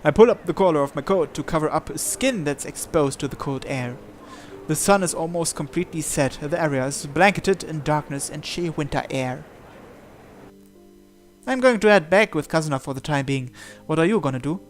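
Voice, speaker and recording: Higher-voiced man reading dramatically. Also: moderate pace. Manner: acts out the protagonist's lines. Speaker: video host reading a visual novel aloud. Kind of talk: dramatized reading of narration and dialogue. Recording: music playing behind the voice.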